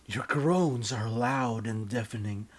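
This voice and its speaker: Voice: medium pitch, takes it slowly. A narrator reading their own comic story in a mock-serious, theatrical, scripted style.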